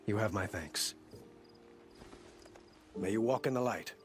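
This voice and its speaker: Stately low-voiced male ruler. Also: takes it slowly, a little hiss or hum. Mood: solemn, grateful. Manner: formal, stately. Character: solemn, gracious male ruler granting protection. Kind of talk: cinematic game dialogue.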